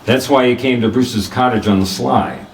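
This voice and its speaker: A man's voice: mid-range voice, moderate pace, close to an everyday voice. A man playing cool, wry hardboiled private eye, echoey. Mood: explaining calmly. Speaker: actor in a radio drama. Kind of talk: 1940s radio detective drama acting with first-person narration. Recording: roomy echo, distant from the microphone.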